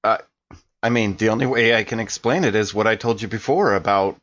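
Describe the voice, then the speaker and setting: A man playing an earnest, defensive detainee in an improvised tabletop game, protesting in a halting, everyday voice. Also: on the low side, fairly bright tone, highs cut off, natural voice.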